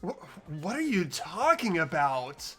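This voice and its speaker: A woman voicing an earnest, determined teenage boy hero in a video game, halting at times and fervent. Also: on the low side, very animated, slightly rough, faint music behind, exaggerated voice. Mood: bewildered. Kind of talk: fan voice acting of a video game script.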